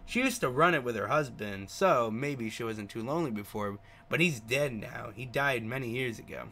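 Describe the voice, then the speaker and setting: Bright-voiced man reading a game aloud. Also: medium pitch, animated, normal speed. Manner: stumbles over words, repeats and retries pronunciations. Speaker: gaming video host. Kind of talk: let's play read-aloud of a visual novel with casual asides.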